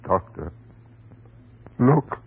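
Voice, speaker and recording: An actor playing an anguished, hot-tempered, guilt-wracked husband in melodramatic, stammering old radio horror style. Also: male voice, sits high, heavily acted. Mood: horrified, frantic. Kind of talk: old-time radio horror drama acting. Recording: muffled, noisy old radio recording.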